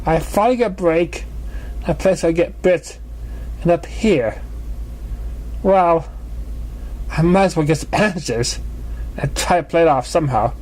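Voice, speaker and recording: A man puts on a vain female pony stage magician, pitched well up. She speaks with grand, theatrical self-importance and self-pity, like a storybook show-off. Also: measured pace, long pauses, darkish tone, low-quality recording. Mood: exasperated, self-pitying.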